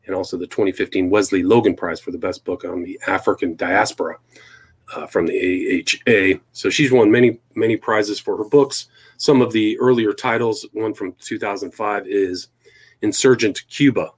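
A man with a fairly low voice. He speaks as the host of an interview show, presenting and questioning in a conversational way. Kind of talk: hosting an interview, introducing a guest.

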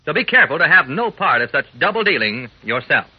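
A man's voice: on the high side, very animated, quick pace, very noisy. A male announcer giving an earnest, scripted old-time radio talk to young listeners in folksy slang. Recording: muffled old radio recording.

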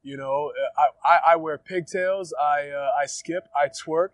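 A man in his thirties from Ghana talks casually in a dry, close-miked interview. His voice sits a bit high for a man, and he keeps dropping "like" and "you know" between his thoughts. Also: fairly even intonation, measured pace, a bit bright, no echo. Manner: easygoing, lots of "like" and "you know" fillers, laughs often. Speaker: wrestler being interviewed.

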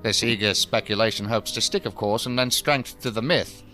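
Snooty jaded professor in a sci-fi radio play, wordy n sarcastic, bit of music under it. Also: male voice, on the low side, normal speed, sharp tone. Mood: sardonic, lecturing. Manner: pompous, wordy, sardonic. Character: jaded, condescending male professor, dryly sardonic. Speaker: actor in an audio drama. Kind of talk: science fiction audio drama acting.

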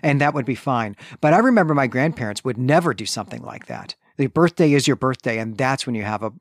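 A man's voice: medium pitch, animated, fast talker, no echo. Man recapping fiction, close dry sound. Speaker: podcast host. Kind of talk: conversational literary recap and discussion.

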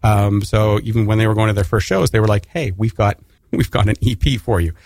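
A man's voice: lowish voice, fairly quick, a little mellow, dry recording, clean studio sound. A Canadian man hosting a casual radio interview, rambling with many restarts and fillers. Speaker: radio host.

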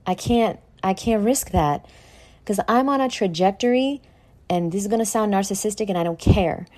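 A woman hosting an informal motivational podcast, brash and sweary, talking straight at the listener with dramatic, drawn-out emphasis. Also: mid-range voice, darkish tone. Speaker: podcast host. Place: at home in a condo.

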